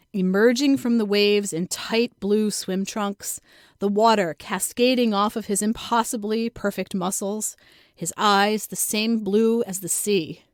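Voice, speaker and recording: Female storyteller, scripted personal narration, wry and self-mocking, medium pitch, dry recording. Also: dry recording. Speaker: storyteller reading own true story.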